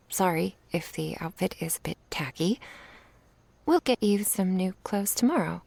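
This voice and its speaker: A woman playing an affectionate young witch in an intimate ASMR roleplay, speaking softly, tenderly and playfully, often in a whisper. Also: fairly low pitch, lively intonation, a little slow, no room sound, exaggerated voice. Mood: playful, doting. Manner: soft, breathy, tender murmuring with little hums.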